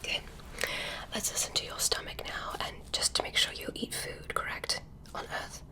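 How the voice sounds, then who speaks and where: A woman voicing a curious, polite female alien doctor who examines a human, speaking in a soft, slow, breathy whisper with gentle instructions, close to the mic. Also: close to an everyday voice. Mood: curious, soothing. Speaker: ASMR creator. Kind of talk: ASMR medical roleplay.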